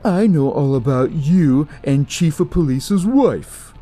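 A man's voice: fairly high pitch, animated, slow pace, mellow, faint music behind, pitched above the performer's own voice. A man voicing a whiny, boastful, spiteful devil worshipper in an audio horror story. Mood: taunting. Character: snarling, whiny devil-worshipping male villain, smug and spiteful.

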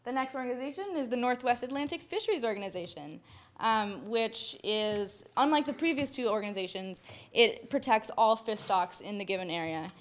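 A woman's voice: on the high side, animated, a little fast. A young woman giving a student presentation from a podium in a lecture hall, reading prepared points with small stumbles and fillers. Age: young adult. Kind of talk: academic presentation.

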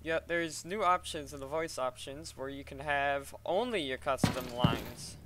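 A man casually talking through a software tutorial step by step in an informal way. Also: mid-range voice, a little slow, very noisy. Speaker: tutorial presenter. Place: voice-over at a computer.

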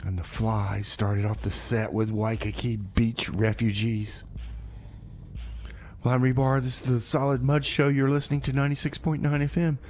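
A man's voice: medium pitch, little pitch movement, moderate pace, darkish tone, slight background noise. A man hosting a community radio show in a studio, talking casually and hesitantly, with frequent restarts. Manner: rambling, hesitant, full of restarts. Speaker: radio host.

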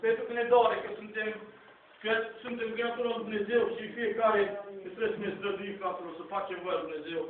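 A man's voice: high voice, steady tone, distant mic. A middle-aged man giving a fervent, unscripted sermon in an earnest, exhorting, repetitive way, recorded from the congregation of a small church hall. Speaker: lay preacher. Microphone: distant handheld camera in the audience. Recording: tinny, noisy, echoey phone recording from afar.

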